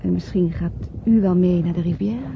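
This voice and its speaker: An actress playing a flirtatious married woman in a radio play, expressive and coaxing. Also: on the low side, normal speed, gravelly. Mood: intimate, seductive. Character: flirtatious married woman fleeing London, pleading then seductive. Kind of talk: Dutch radio play acting. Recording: dull, noisy old radio play recording.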